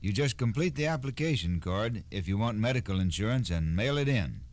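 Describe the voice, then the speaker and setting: A man speaking as an expert guest in a scripted 1960s radio explanation, formal, deliberate and instructive. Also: on the low side, lively intonation, darkish tone, no room sound. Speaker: Social Security expert guest.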